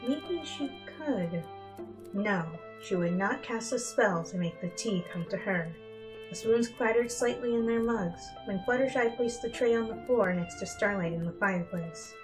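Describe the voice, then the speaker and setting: Female storybook narrator, mid-pitched, dramatic reading style, soft instrumental music underneath. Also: a little slow, low-quality recording. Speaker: fan fiction reader. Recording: music bed behind the voice.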